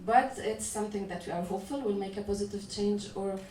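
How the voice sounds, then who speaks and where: A woman speaking on a conference panel as a festival organizer, measured and halting, with frequent "uh" restarts. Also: medium pitch, mellow. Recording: echoey room, voice from a distance, faint noise.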